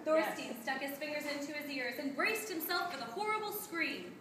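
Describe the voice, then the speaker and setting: A woman's voice: high voice, expressive, normal speed. A woman narrating a scripted puppet-show story as its storyteller. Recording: echoey room, noisy, distant mic.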